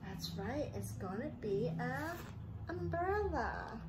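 A woman's voice: high-pitched, sing-song, slow, background noise. A woman in her thirties in a sing-song children's story-time style, asking simple questions as she teaches. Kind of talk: children's read-aloud and teaching. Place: living room indoors.

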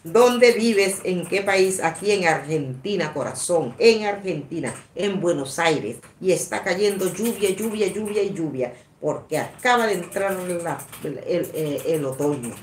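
A woman in her sixties hosting a casual live stream, reading out comments in a rambling, halting, repetitive way. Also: lowish voice, expressive. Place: small indoor room at a table. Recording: echoey room, some background noise.

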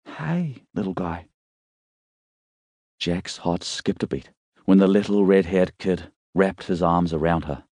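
A man's voice: low voice, steady tone, measured pace, a little mellow, no room sound. A man reading scripted fiction as a dramatic audiobook narrator.

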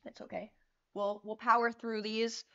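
A young woman hosting a casual livestream from her bedroom, chatting freely and swearing as she paints, with a voice that is getting hoarse. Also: on the high side, little pitch movement, moderate pace, fairly bright tone, dull audio. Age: young adult. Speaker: livestream host. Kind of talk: casual chat while painting cards. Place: small bedroom. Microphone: lapel mic.

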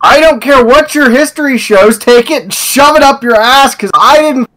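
A man's voice: very high-pitched, normal speed, crowd noise, poor audio. A man in a heated phone argument, exasperated, profane and emphatic.

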